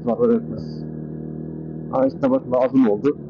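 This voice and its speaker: A man's voice: medium pitch, steady tone, a little slow, gravelly. A man vlogging casually from his motorcycle, chatting and muttering to himself as he rides through town. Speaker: motovlogger. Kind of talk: casual riding commentary. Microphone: AirPods earbuds under helmet. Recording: muffled earbud mic with loud road noise. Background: engine and traffic noise.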